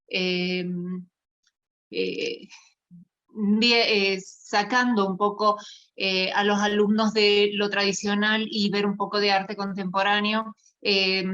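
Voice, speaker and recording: Bright-voiced woman on a dull internet call. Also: mid-range voice. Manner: hesitant, broken phrasing. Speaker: teacher, co-author of the talk. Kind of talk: spontaneous remarks at an online conference. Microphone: video call.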